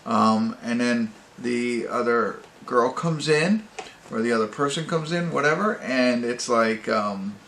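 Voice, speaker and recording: A man's voice: mid-range voice, unhurried, a bit bright. A man giving a casual, off-the-cuff review as host, rambling and hesitant as he searches for words. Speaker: amateur movie reviewer and host. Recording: roomy echo, faint background noise.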